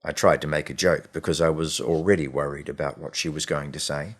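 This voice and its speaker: A man reading a short story as scripted audiobook narration, in a measured, expressive way with deliberate pauses. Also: rumbling low.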